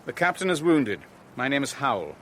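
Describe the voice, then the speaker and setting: A man's voice: medium pitch, very animated, fairly quick, slight background noise, close to an everyday voice. A man acting in a radio drama as a sardonic, defensive businessman and shipowner who is under attack. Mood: measured, tense.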